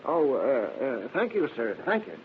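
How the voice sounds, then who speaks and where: An actor playing a timid, deferential druggist in an old radio drama, stammering and repeating himself hesitantly. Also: male voice, mid-range voice, very animated, a little slow. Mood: nervous, deferential. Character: timid, flustered small-town druggist, meek and deferential. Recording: muffled, noisy vintage radio recording.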